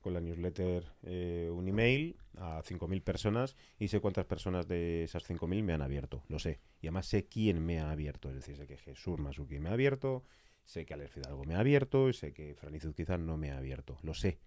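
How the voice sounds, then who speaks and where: Deep-voiced Spanish podcast host, dry recording. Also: male voice, expressive, fairly quick, a little mellow, dry recording. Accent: Spanish (Spain). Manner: didactic, checks in with short tag questions. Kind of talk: conversational explanation.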